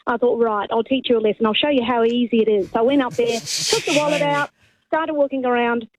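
Brisk woman caller, amused anecdote. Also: on the high side, steady tone, no echo, rough recording. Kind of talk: phone-in anecdote.

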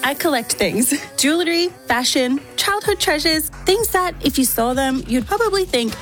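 A woman with a high voice reading a chatty, scripted podcast promo. Soft music plays underneath. Her delivery stays light and friendly. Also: expressive, moderate pace. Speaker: podcast host in an ad.

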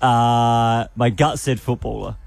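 A man's voice: medium pitch, steady tone, slow pace, gravelly. An Australian man co-hosting a morning radio show, laid-back and playful, poking fun at himself. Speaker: radio co-host. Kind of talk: breakfast radio banter.

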